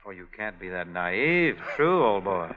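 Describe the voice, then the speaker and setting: A man's voice: low-pitched, very animated. A man playing a joking song-and-dance man in a 1940s radio play, on a dull old broadcast recording. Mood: breezy. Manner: breezy, joking, quick patter. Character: wisecracking male song-and-dance man Jim. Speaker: actor in radio play, also narrator.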